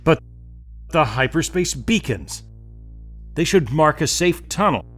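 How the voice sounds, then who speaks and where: An actor playing an indignant, defiant captive mercenary hunter in an audio drama, speaking in a confrontational, dramatic manner. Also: male voice, fairly high pitch, expressive, slow, a bit bright, faint music behind, full character voice. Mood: grimly defiant.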